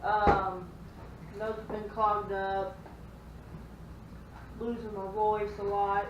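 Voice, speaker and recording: A woman's voice: medium pitch, level tone, drawn-out words. A young woman chatting casually as she hosts a home vlog. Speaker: vlog host. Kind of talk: casual chat. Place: echoey room at home. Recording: echoey room, distant muffled mic, faint noise.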